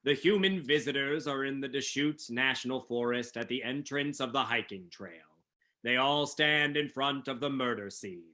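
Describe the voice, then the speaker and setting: A man's voice: medium pitch, pushed and bright. A man reading scripted narration and stage directions for a dramatic reading.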